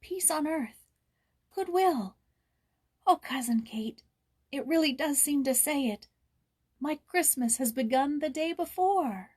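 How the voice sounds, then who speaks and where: A woman's voice: high voice, expressive, slow pace, pauses often, darkish tone, voice pushed up. A woman voicing a delighted, dreamy, wide-eyed young girl in a children's storybook reading. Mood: awed joy.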